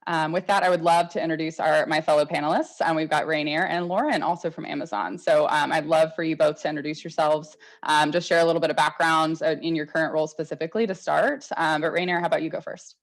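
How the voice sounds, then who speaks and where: A woman's voice: deep voice, steady tone, quick pace, hardly any pauses. A woman recruiter moderating a webinar panel on a video call, upbeat and self-deprecating.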